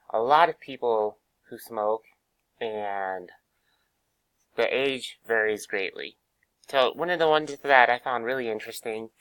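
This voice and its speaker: Hoarse young man, student presenter with a cold, casual and halting, middle pitch, dry sound. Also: sharp tone, no room sound. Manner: casual, many fillers, pauses. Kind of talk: class presentation.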